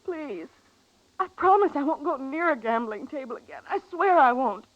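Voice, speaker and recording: High, volatile frontier saloon woman. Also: animated, moderate pace, no room sound. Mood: tearful pleading. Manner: melodramatic, pleading turning to defiant. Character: scheming, volatile saloon gambling woman of the Old West. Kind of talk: 1950s western radio drama acting. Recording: dull vintage radio recording.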